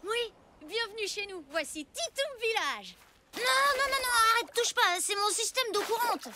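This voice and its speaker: Very high-pitched, spirited cartoon boy. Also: normal speed, a little hiss or hum, heavily acted. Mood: proud, flustered. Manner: excitable, emphatic. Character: spirited, stubborn little prehistoric boy. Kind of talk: French animated cartoon dub acting. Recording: loud music behind the voice.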